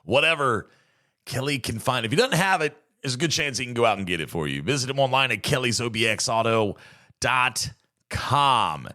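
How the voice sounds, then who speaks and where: An American man with a slightly hoarse voice, hosting a podcast in a chatty, rambling, folksy monologue. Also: mid-range voice, big pitch swings, brisk, bright, forceful tone. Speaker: podcast host. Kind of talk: casual solo monologue, podcast intro.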